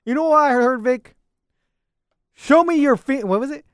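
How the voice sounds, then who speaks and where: A man's voice: very high-pitched, normal speed, fairly bright tone, no echo, studio quality. A man hosting a comedy podcast in casual banter, teasing and coaxing his co-host.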